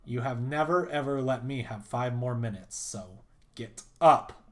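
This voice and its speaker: A man with a raspy voice, voicing a teasing, bossy teenage daughter in a dating game. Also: mid-range voice, measured pace, natural voice. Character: sassy teenage daughter, girl.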